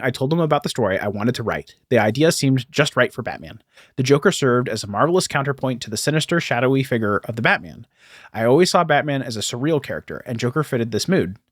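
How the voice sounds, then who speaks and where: A man with an average-pitched voice co-hosts a podcast. He explains things casually and corrects himself mid-sentence. The sound is dry, with almost no room on it. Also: no room sound. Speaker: podcast co-host. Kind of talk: casual explanatory chat with some reading aloud.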